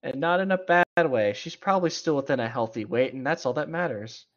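A man's voice: mid-range voice, animated, a little fast, fairly bright tone. A man reading a story aloud and tossing in casual reactions as a co-host. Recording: dull lo-fi microphone.

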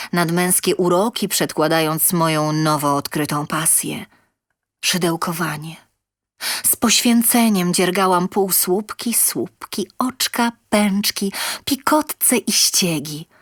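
A woman's voice: fairly low pitch, no room sound. Woman acting a theatrical lady storyteller, close and dry. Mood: wry, bored. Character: self-satisfied, sensual lonely woman inventor telling her tale. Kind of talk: dramatic comic story narration.

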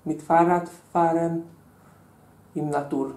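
A young man with a Hungarian accent speaks slow, simple German with small errors, as a candidate in a spoken exam. His speech is unhurried. The sound is roomy, recorded across a small room. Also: fairly high pitch, level tone, a little mellow. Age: young adult. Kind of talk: oral language exam answers. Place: small indoor room at a table.

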